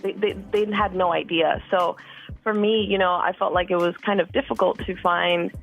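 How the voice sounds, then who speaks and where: Low-voiced woman, relaxed chatty guest. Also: normal speed, vocal fry, soft background music, poor audio. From Costa Rica. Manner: relaxed, repeats words, many fillers. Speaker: musician guest. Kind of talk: casual interview chat.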